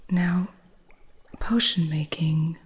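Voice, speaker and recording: A stern, impatient witch shopkeeper, whispered by a woman in an ASMR roleplay, speaks in a low, breathy whisper. She talks slowly and deliberately, with a strict and haughty edge. Her voice sits deep for a woman. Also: steady tone, exaggerated voice. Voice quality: soft unvoiced whisper.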